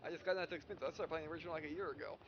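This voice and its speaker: A man hosting a casual let's play, reading game dialogue aloud in a halting, stumbling way and correcting himself. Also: fairly high pitch, fairly even intonation, fairly bright tone, slight background noise. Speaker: gaming video host. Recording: dull, lo-fi home recording.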